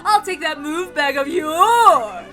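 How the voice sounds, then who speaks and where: A woman voicing a gleeful, mocking witch who cackles and taunts with theatrical, drawn-out delivery in a visual novel reading. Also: sits high, lively intonation, bright, forceful tone, faint music behind, a faint crowd, voice pushed up, full character voice. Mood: exultant taunting.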